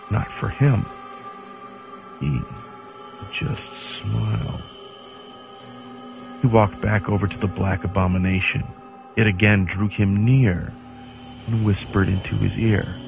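A man narrating a creepy horror story in a dramatic, ominous manner with deliberate pauses. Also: lowish voice, creaky.

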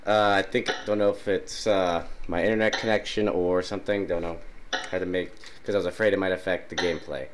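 A man's voice: deep voice, fairly quick, slight background noise. A young man with a sinus infection and sore throat, casually commenting on a game and reading its dialogue aloud. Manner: clears throat often, reads dialogue in a flat voice. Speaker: gaming YouTuber. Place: small dark room at home. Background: loud game music.

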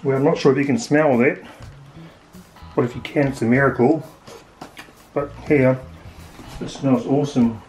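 A man in his forties with a New Zealand accent, a little hoarse, chatting casually as he cooks and tastes food in his home kitchen. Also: medium pitch, normal speed, long pauses, soft background music, rough recording. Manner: relaxed, chatty, thinking aloud with fillers. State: slightly hoarse, losing his voice. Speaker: home cook vlogger. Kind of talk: casual cooking commentary.

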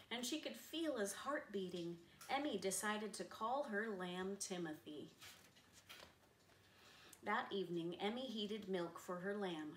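A middle-aged woman reads a picture book to children with expressive delivery. Her voice is medium in pitch. It is picked up from across a roomy living room, with faint noise in the recording. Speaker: read-aloud storyteller. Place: living room, seated on a sofa. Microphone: camera a few metres away. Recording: roomy, distant camera mic, faint noise.

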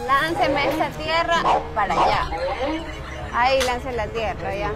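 Young woman w/ a high voice sweet-talking strangers into work, filmed outside on a busy street, loud music going. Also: expressive, a little slow. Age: young adult. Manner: coaxing, playfully bossy. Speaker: prank video host. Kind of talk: hidden-camera street prank. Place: outdoor street construction site.

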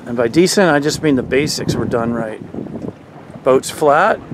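A man's voice: mid-range voice, expressive, moderate pace. A man coaching sailors from a motorboat, explaining technique and calling out commands. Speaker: sailing coach. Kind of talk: live on-the-water coaching commentary. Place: outdoors on a motorboat on a lake. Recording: wind noise on camera mic.

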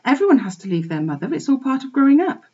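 A warm, motherly collie bitch, given voice by a woman reading aloud in a storybook. She soothes and reassures, her voice swooping up high, a little husky and heard through a slightly dull recording. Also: big pitch swings, fast talker, full character voice. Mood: kind. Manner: gentle, soothing, calls the piglet dear. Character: motherly female collie, kind but firm.

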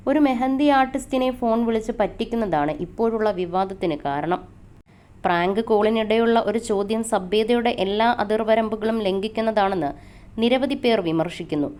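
A woman's voice: medium pitch, darkish tone, clear, resonant tone. A woman narrating a scripted entertainment news report.

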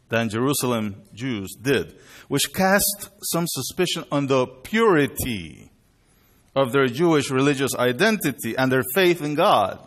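A middle-aged man, born in Chile and raised in Panama, preaching at a lectern in a measured, deliberate way. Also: medium pitch, a bit bright. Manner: measured, deliberate delivery with bilingual openings. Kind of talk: sermon at a worship conference. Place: indoor hall with a screen. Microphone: lectern mic, far camera.

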